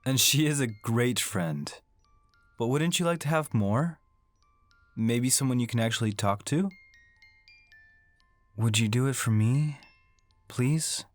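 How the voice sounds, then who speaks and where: A woman playing a gentle, earnest father in a horror radio drama. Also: a bass voice, lively intonation, slow, long pauses, no echo, soft background music, exaggerated voice. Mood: gentle coaxing. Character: gentle, protective father pleading with his daughter.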